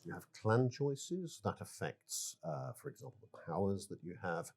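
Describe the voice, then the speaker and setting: Casting: male game developer interviewee, lowish husky voice, halting, considered explanations. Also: very animated, a little mellow. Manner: halting, deliberate, measured phrases.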